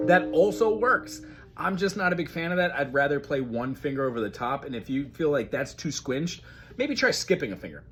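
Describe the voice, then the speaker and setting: A man teaching a casual ukulele lesson, talking through chords in a friendly, chatty way and singing along. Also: fairly high pitch. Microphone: recorded alongside his own ukulele playing. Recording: ukulele loud under voice.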